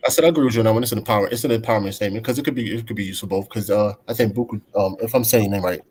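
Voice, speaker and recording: A man's voice: lowish voice, darkish tone, a little hiss or hum. A man speaking as a panelist in a casual livestream discussion, emphatic and full of fillers. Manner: fast, emphatic, uses filler like. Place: livestream video call.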